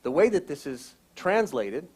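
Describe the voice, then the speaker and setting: A man's voice: on the high side, sing-song, measured pace, darkish tone, slightly rough. A man speaking from the front of a church, giving a Sunday message in an explanatory, teaching tone. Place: church sanctuary.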